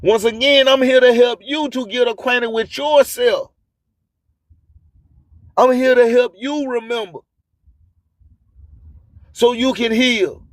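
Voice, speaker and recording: A man with a high-pitched voice hosts a radio show in a declamatory spoken-word style. He takes his time, repeating phrases for emphasis. The sound is close and dry. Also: fairly even intonation, slow pace, long pauses, no echo. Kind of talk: live radio monologue with rhymed spoken-word delivery.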